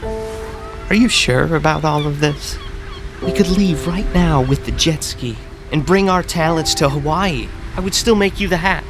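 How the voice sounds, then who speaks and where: A woman's voice: sits low, a little slow. A woman playing a melodramatic, self-pitying and needy island mystic in a comedic audio drama, with a pleading way of talking. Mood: hesitant. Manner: dramatic, pleading, swooping delivery. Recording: music behind.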